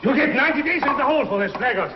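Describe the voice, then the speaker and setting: A furious shouting guard. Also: male voice, extremely high pitch, expressive, rapid-fire. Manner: yelling, commanding. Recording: noisy, roomy lo-fi 1950s TV soundtrack with crowd.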